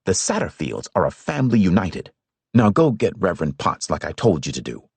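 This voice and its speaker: A man's voice: low-pitched, very animated, fairly quick, creaky, a little mellow, husky, no room sound, exaggerated voice. A man reading aloud, voicing a stern, commanding older father who rules his family firmly.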